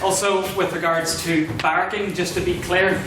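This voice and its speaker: A man's voice: sits high, little pitch movement, normal speed. A man chairing a debate, giving procedural announcements to a meeting. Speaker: society president chairing the debate. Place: echoey debating hall, distant mic. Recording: echoey hall, far mic, clear noise.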